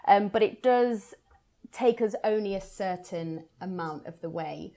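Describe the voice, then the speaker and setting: A woman hosting a conversation as a theatre producer, addressing listeners in an informal, softly hedged promotional chat. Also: mid-range voice, unhurried.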